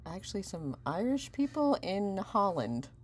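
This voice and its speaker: A woman's voice: mid-range voice, a little slow, husky. A woman with an American accent co-hosting a podcast, joking in playful casual chat. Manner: playful, deadpan jokes. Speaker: podcast co-host.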